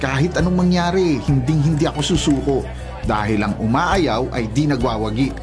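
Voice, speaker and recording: An actor playing a persistent, lovestruck young suitor in a Filipino radio drama, earnest and pleading. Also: male voice, mid-range voice, normal speed, over music, lightly acted. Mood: earnest, determined.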